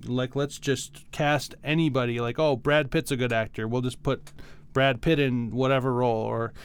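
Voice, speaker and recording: Smooth-voiced man in casual podcast talk. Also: mid-range voice, fairly even intonation. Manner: halting, hedging, explains by analogy. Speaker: podcast co-host. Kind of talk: casual music discussion.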